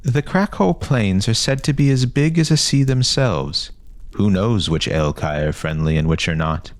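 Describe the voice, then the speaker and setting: A man's voice: fairly low pitch, moderate pace, no echo, pitched above the performer's own voice, natural voice. A man voicing a bossy, worldly older boy who leads a gang in a fantasy story, speaking with knowing confidence.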